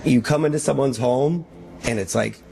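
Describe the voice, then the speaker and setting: A man co-hosting a casual conversation, agreeable and quick to say "yeah", asking the guest questions. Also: mid-range voice, gravelly, mellow, slight background noise. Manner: agreeable, frequent short "yeah".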